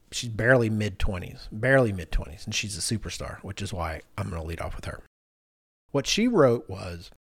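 A man in his fifties speaks as the host of a conversational podcast. His voice sits at a middling pitch, recorded dry with little room around it. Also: dry recording. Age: 50s. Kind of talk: conversational discussion, reading out responses.

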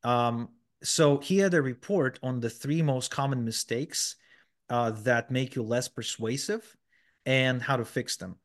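A man of middling pitch talks through his ideas as a podcast guest. He explains step by step, with plenty of fillers and false starts along the way. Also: measured pace. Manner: explanatory, many fillers and restarts. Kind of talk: conversational interview answers.

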